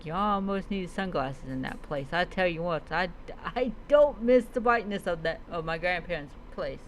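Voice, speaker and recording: A woman's voice: on the low side, moderate pace, slight background noise. A woman reading a first-person adventure story aloud as a role-play storyteller, stumbling and repeating words as she goes.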